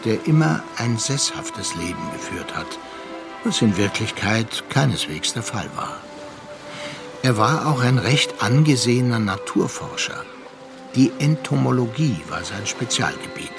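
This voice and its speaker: A seasoned seafarer telling a friend's story in a literary radio play. His delivery is reflective and measured, in a medium-pitched male voice. Music plays clearly behind him. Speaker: narrator.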